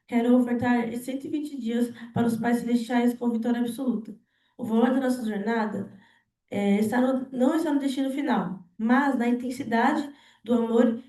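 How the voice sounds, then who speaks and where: A woman's voice: a little high, warm tone, reverberant. An eighteen-year-old woman giving an earnest personal testimony to a church group, padding her talk with small fillers. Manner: earnest, many fillers like "né" and "na verdade". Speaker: team member giving testimony.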